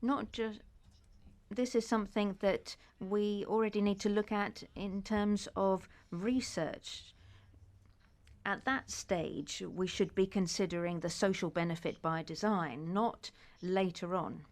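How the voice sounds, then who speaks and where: A woman interpreting a conference speech into English, measured and often pausing with hesitations. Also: mid-range voice, darkish tone.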